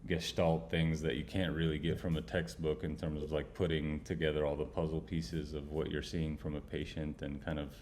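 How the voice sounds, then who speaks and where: A young man hosting an interview, asking questions in a halting way with many fillers. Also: very deep voice, level tone, darkish tone, slight background noise. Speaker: medical student host. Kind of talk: interview host.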